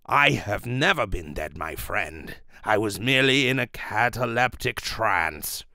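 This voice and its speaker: A man's voice: on the low side, lively intonation, gravelly, bright, forceful tone, no echo, clean studio sound, heavily acted. Man playing a suave, menacing criminal mastermind. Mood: smooth menace. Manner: formal, deliberate. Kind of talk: old radio serial acting.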